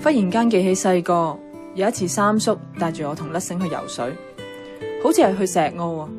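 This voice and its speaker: A woman's voice: on the low side, fairly quick, natural voice. A woman playing a caring, nostalgic young niece who narrates and acts in a radio drama, with a Hong Kong accent. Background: music.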